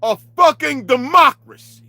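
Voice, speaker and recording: High, animated, ranting middle-aged man. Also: moderate pace, creaky. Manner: heated, profane, swings between muttering and shouting. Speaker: vlogger. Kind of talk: profane ranting monologue.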